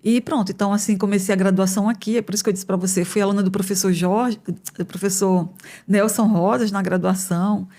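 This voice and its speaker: Measured woman in her fifties, economics professor. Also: medium pitch, steady tone, mellow. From northern Brazil. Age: 50s. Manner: thoughtful, measured, frequent hesitations and tag questions. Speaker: economics professor, podcast guest. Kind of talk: conversational interview answers. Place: podcast studio.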